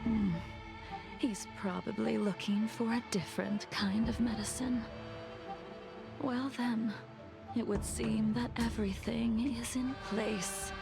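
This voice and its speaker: A vengeful, ageless swordswoman for a video game. The delivery is slow and solemn, with fry and breathy, near-whispered endings, set over music. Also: on the high side, steady tone. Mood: cool, intent. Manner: solemn, measured, formal. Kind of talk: English video game voice acting.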